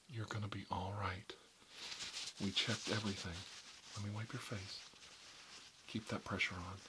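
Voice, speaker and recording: Male caregiver, soft soothing whisper, lowish pitch, faint noise floor. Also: low-quality recording. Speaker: roleplay caregiver. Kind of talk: whispered medical roleplay.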